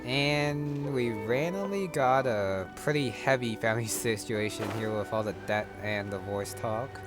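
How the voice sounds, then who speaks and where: Male gamer host: slow, lowish voice, casual musing commentary, game music behind. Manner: offhand, musing to himself.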